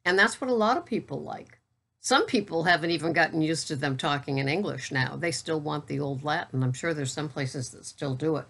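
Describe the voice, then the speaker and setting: Low-voiced woman in her sixties, steady and plain. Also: fairly quick, vocal fry, husky. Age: 60s. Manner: steady, matter-of-fact, self-described monotone; chatty with wry asides. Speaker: keto vlogger and coach. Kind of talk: casual talk to camera.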